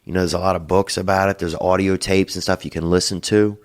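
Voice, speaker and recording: A man's voice: deep voice, little pitch movement, fast. A middle-aged man hosting a call-in show, giving rambling, crude advice in a loose drawl, peppered with "you know" and "boy.". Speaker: podcast host giving advice.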